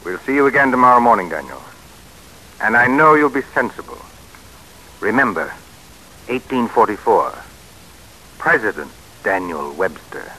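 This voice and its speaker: An actor playing a pushy, scheming American politician who talks insistently and persuasively, in old-time radio drama style with an American accent. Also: male voice, lowish voice, very animated, normal speed, pauses often, bright, forceful tone, heavily acted, rough recording. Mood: coaxing, firm. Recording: hissy vintage radio broadcast recording.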